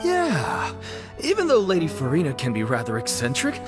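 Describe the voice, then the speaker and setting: A man's voice: a little high, wildly swooping pitch, moderate pace, over music. A man playing a doubtful, protesting townsperson in a video game courtroom. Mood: startled, protesting.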